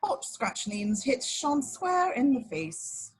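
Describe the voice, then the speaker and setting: A woman's voice: high voice, sing-song, slow, slightly rough. A woman reading scripted action descriptions as narrator at an informal table read, now and then stumbling and correcting herself. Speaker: narrator of stage directions.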